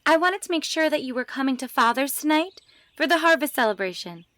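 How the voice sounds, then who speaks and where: High-voiced coquettish country belle. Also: female voice, animated, fairly quick, fairly bright tone, no room sound. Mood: sweet, flirtatious. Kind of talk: audio drama acting.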